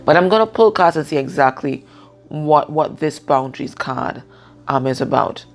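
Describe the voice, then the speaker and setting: A woman with a husky voice giving a casual, unscripted card reading, pausing and often checking in with the listener. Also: deep voice, animated, faint music behind. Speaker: tarot and oracle card reader.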